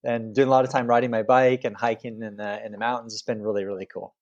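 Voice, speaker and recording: Casting: middle-aged Canadian-raised man, lowish voice, easygoing and meandering, video call. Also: little pitch movement, fast talker, darkish tone, dull audio. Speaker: guest author. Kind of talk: interview conversation.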